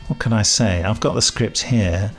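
A man's voice: on the low side, moderate pace, faint music behind. A man hosting a podcast in a casual, chatty way, with jokey, self-deprecating asides and chuckles. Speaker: podcast host.

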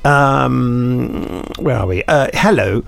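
A man's voice: medium pitch, expressive, slow pace, gravelly, a bit bright. A British man co-hosting a podcast, reading out listener emails and jokily breaking off to add his own comments. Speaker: podcast co-host.

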